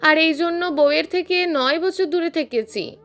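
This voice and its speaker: A woman's voice: very high-pitched, measured pace, fairly bright tone, exaggerated voice. Woman reading as defiant young man, firm, blunt, unashamed, audio story, music behind. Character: bold, unashamed young husband, a defiant male doctor.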